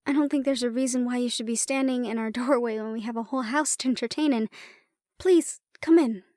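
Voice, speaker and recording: Woman acting high-voiced, gracious young lady. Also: brisk, darkish tone, no echo, studio quality, lightly acted. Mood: welcoming. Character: gracious, loyal young woman welcoming a captain. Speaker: voice actor. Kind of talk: romantic fantasy audio roleplay acting.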